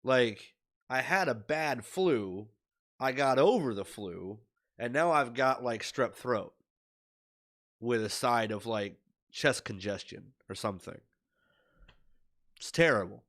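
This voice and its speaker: A man's voice: mid-range voice, lively intonation, takes it slowly, pauses often, fairly bright tone. A young man with a stuffy nose and a scratchy voice from illness, rambling worriedly with lots of fillers in a casual video diary to his webcam. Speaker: streamer. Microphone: webcam at desk.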